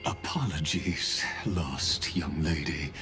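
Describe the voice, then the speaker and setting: A man voicing a calm, menacing video game villain with a polite, measured, condescending manner. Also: a bass voice. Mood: politely menacing. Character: courtly, menacing male villain, calm schemer. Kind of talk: scripted video game acting. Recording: clear music behind the voice.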